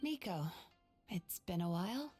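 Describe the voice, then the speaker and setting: A polite, composed therapist from an anime game, acted by a woman, talks at a medium pitch. Her delivery is measured, reserved and professional. Soft music sits behind the voice. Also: expressive, close to an everyday voice. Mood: polite, wry. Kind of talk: anime video game acting.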